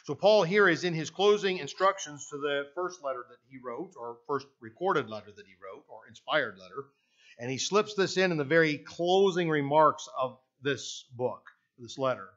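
An older Canadian man preaches in a church sanctuary, his voice sitting high for a man. He talks in a loose, conversational way, restarting phrases and checking in with "right?", often walking away from the podium mic. The recording is a little dull and lo-fi. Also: animated, normal speed, a bit bright. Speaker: pastor. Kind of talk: sermon. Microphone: podium mic, speaker often walking away from it.

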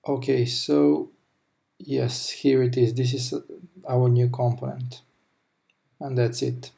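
A man talking through a coding tutorial over a screen recording, explaining step by step with pauses and self-corrections. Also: medium pitch, level tone, gravelly, warm tone. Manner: slow, halting explanation with fillers and self-corrections. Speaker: programming tutor.

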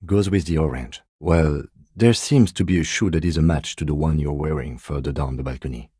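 An actor playing a reserved, principled middle-aged police detective in a video game, with a measured, dry and formal manner. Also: male voice, rumbling low, dark tone, slightly rough, no echo. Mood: dryly polite.

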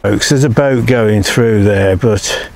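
A man's voice: fairly low pitch, takes it slowly, vocal fry, darkish tone. An older English man chatting casually as he rides along a canal towpath, filming for his own vlog. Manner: chatty, keeps saying folks and follow me. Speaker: vlogger. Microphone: cabled mic while riding.

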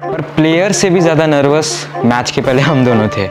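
A man's voice: a little high, lively intonation, normal speed. A young man narrating his vlog in a casual, self-mocking way, speaking into a lapel mic while seated indoors. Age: young adult. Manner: chatty, self-mocking storytelling. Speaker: vlogger narrating. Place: indoors on a couch, dim blue-lit room. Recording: background music under voice.

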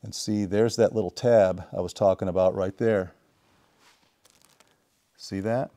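A middle-aged man giving a casual, deliberate, step-by-step hands-on repair tutorial. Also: low voice, fairly even intonation, warm tone. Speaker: DIY repair demonstrator. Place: indoor home workshop.

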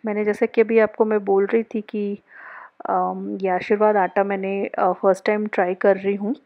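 A woman in her thirties chatting casually at home about her monthly groceries, pausing often with fillers and slipping into English phrases. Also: medium pitch, muffled recording. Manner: relaxed, mixes English phrases, many pauses and fillers. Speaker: vlogger. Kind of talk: casual grocery haul narration. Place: home, indoors.

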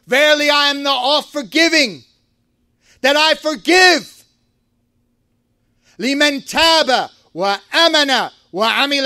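A man giving a Friday sermon in a mosque, preaching with passion, rising to shouts and reciting Arabic verses. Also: extremely high pitch, no echo, studio quality.

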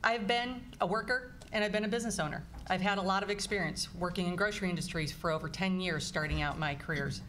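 A woman's voice: fairly low pitch, fast, hardly any pauses, a bit bright, slight background noise. A woman in her forties giving an earnest, upbeat candidate forum speech into a table mic in a meeting room. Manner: earnest, upbeat, emphatic lists. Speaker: political candidate. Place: meeting room with small audience.

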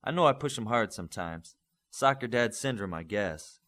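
Man reading as a proud team coach, animated. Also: lowish voice, normal speed. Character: proud, eager male superhero team coach.

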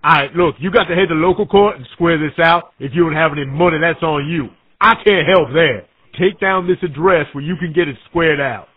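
A man's voice: fairly high pitch, fairly quick, hardly any pauses, slightly rough, no echo. A man playing a pushy, scolding small-claims court official in a streetwise, informal, confrontational prank call. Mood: irritated, pushy. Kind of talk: pre-recorded prank call acting. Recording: tinny phone line.

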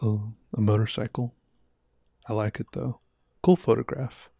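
A man's voice: mid-range voice, steady tone, moderate pace, vocal fry, dark tone, dry recording, studio quality. A man in his thirties with a slightly sore throat, chatting casually and rambling as he reviews viewer art on a headset mic in a small room. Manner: rambling, self-interrupting, chatty. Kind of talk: casual livestream commentary. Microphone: headset with boom mic.

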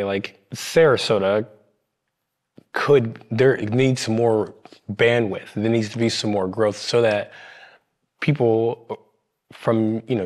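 A young man from Ohio, a podcast guest, telling a personal story in a halting way with many restarts, seated on a studio set. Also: on the low side. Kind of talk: candid storytelling.

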